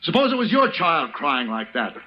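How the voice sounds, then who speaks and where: Tough, principled Western lead, firm and animated, muffled vintage radio drama sound. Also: male voice, fairly high pitch, normal speed. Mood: defiant. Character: tough, principled Western hero, protective and commanding. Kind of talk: 1950s radio drama acting. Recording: muffled, noisy old radio recording.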